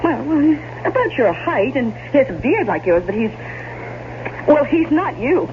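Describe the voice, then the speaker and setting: A woman's voice: high voice, sing-song. Swooping, suspicious young woman, tinny radio. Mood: flustered, puzzled. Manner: halting, repeats words emphatically. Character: suspicious, outspoken young woman working aboard an ocean liner. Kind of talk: 1970s radio drama acting. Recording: tinny 1970s radio recording, faint noise.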